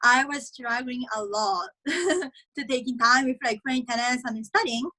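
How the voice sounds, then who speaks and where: A woman's voice: sits high, unhurried, pushed and bright, low-quality recording. A young woman from Japan with a Japanese accent, a college tennis player chatting in an interview, speaking non-native English full of "like" and "you know" and laughing often. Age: young adult. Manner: giggly, many fillers like "like" and "you know". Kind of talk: casual interview, video call.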